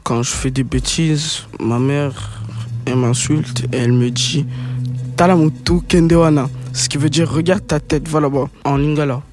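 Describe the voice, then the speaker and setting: A man's voice: a little high, steady tone, normal speed. A teenage boy from Congo, speaking plainly and a little hesitantly about himself. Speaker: interviewee. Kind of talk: personal storytelling to camera. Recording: music underneath.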